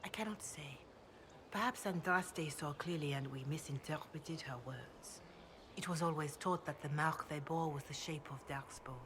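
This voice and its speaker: An actress voicing a devout, grave priestess in a video game, speaking in a measured, reverent way. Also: female voice, sits low, noisy background, natural voice. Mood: reflective. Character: devout, grave chantry priestess, calm and counselling. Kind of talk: video game dialogue, scripture recitation.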